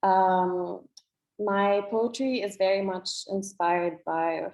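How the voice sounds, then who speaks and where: Slow, hesitant young woman poet. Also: medium pitch, darkish tone. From Cairo, Egypt. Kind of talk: casual introduction to a reading.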